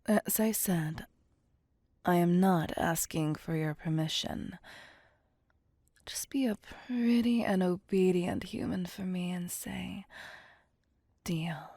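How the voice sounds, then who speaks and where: An ancient female vampire, acted by a woman for an ASMR roleplay, possessive and playful. She speaks very slowly in a dark, breathy near-whisper, coaxing and commanding the listener as her prey. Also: fairly low pitch, dry recording, studio quality. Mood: seductive, coaxing. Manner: slow, teasing, coaxing and domineering.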